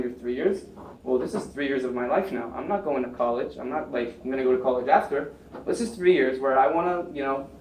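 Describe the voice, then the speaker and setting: A young American man rambles through his story at a panel, piling on fillers and restarts. He sounds set back from the mic, in a roomy hall with faint noise behind him. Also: medium pitch. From United States. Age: young adult. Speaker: panel speaker. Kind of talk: casual storytelling talk. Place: lecture room. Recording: echoey room, mic far off, faint noise.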